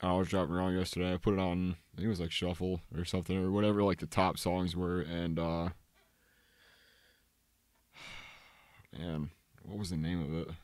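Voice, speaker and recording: Young man, very low voice, dry close sound, hesitant and laid-back co-host. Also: flat delivery, normal speed, pauses often, darkish tone, no echo. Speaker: podcast co-host. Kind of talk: casual podcast chat.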